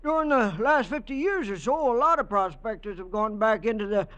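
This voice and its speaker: An actor playing a folksy desert-town local in an old radio drama, telling legends in a halting, hesitant way. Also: male voice, high-pitched, lively intonation, a bit bright, clear, resonant tone, muffled recording. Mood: explaining. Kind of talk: 1950s radio acting.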